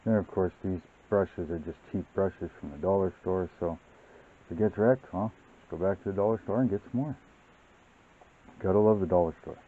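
A man hosting a model-building channel, explaining his workbench steps in a relaxed, rambling way with many fillers. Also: low-pitched, moderate pace. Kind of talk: casual hobby tutorial narration. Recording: tinny, phone-like sound.

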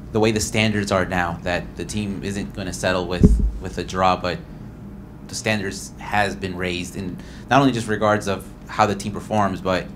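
A man's voice: low-pitched, a bit bright, slight background noise. A man, a reporter, asking a long, hesitant question at a press conference. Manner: rambling, hesitant with fillers.

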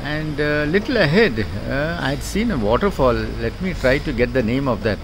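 A man's voice: medium pitch, expressive, normal speed. Man vlogging over road noise. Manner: chatty, pointing out sights to viewers. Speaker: travel vlogger. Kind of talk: casual travel narration. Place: on a bus, then walking outdoors on a street. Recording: loud road and engine noise.

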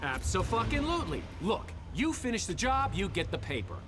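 An actor playing a smug, theatrical rich boss in a video game cutscene, mocking, flamboyant and foul-mouthed. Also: male voice, high voice, big pitch swings, a little fast, music in the background.

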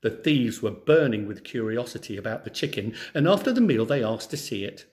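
A man reading a children's story aloud in an expressive, theatrical way. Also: medium pitch, fairly quick. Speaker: storyteller, teacher.

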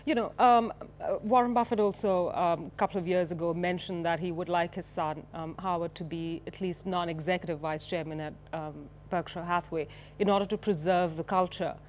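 A woman's voice: fairly low pitch, steady tone, a little fast, slight background noise. A woman, presenting and interviewing as a business news anchor in a television studio. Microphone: broadcast studio mic.

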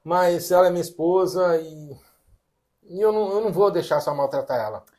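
A man's voice: sits high, brisk, a little mellow. A man playing a hesitant, earnest husband and grown son torn within his family in a domestic drama, with a Brazilian accent. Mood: firm, earnest.

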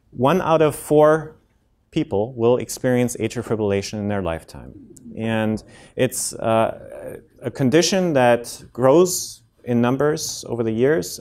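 A German-accented man in his thirties gives a friendly talk on heart rhythm to a community audience. He explains things plainly, often pausing with ums and restarting sentences. He speaks into a microphone in a lecture room. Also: mid-range voice, darkish tone. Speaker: cardiologist giving a public health talk. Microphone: handheld and podium microphone.

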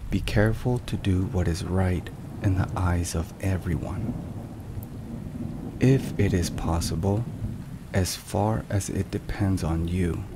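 A man with a low voice narrates in a solemn, scripted ASMR style. He speaks very slowly and with measured care. Rain and thunder are clearly heard behind him. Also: background noise. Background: thunderstorm and rain sounds.

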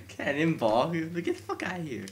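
Lively, joking man on game chat. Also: fairly high pitch. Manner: laughing, joking, quick reactions. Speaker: friend playing along. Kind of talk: casual chat with friends over gameplay. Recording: noisy game chat capture.

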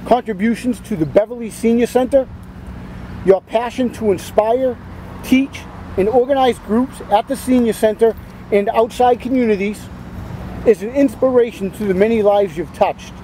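A uniformed man reading a formal citation at an outdoor podium in a measured way. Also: very high voice, warm tone, a little hiss or hum, rough recording. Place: outdoors at a podium in front of a brick building. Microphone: podium mic.

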